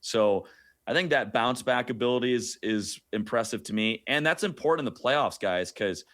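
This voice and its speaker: A man's voice: medium pitch, moderate pace, a bit bright. A man talking sports conversationally as a guest play-by-play announcer, with informal storytelling and wry asides.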